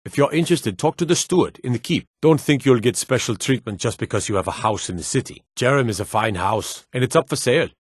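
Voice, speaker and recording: A man voicing a gruff, wary city guard in a fantasy video game, stern, clipped and world-weary. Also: mid-range voice, lively intonation, fast, hardly any pauses, no room sound, clean studio sound. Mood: plain, matter-of-fact. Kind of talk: video game character dialogue.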